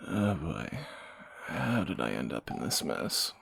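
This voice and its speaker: A man playing a groggy fantasy assassin in an intimate romantic audio roleplay, husky and halting, with wry understatement. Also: a bass voice, very animated, a bit bright, no room sound, heavily acted. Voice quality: gravelly, husky morning voice. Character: groggy male fantasy assassin, softening captor, flustered and teasing.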